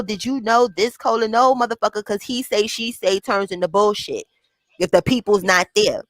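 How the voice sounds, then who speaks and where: A woman with a high voice hosting a casual chat, talking in a blunt, profane, animated way. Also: no room sound. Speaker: host.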